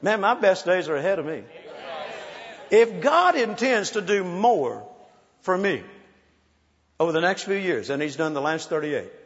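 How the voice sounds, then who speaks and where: A man's voice: high voice, sing-song, measured pace, pauses often. A man in his sixties preaching a sermon, urging the crowd to praise and telling playful stories. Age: 60s. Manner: exhorting, calls for praise, playful storytelling. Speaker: pastor. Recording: muffled sound in a large reverberant hall.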